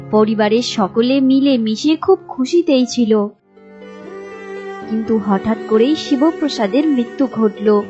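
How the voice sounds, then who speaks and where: A woman's voice: a little high, muffled recording. A woman narrating a scripted story as a storyteller. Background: music.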